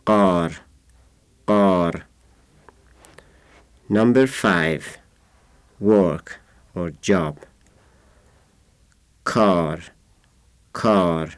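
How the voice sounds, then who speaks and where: A man of thirty-five from Yazd with an Iranian accent, a native Persian speaker, reading out a numbered word list in a deliberate way. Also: deep voice, clean tone, no echo. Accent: Iranian (Yazdi). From Yazd, Iran. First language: Persian (Yazdi dialect). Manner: deliberate, isolated words with numbered glosses. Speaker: language informant.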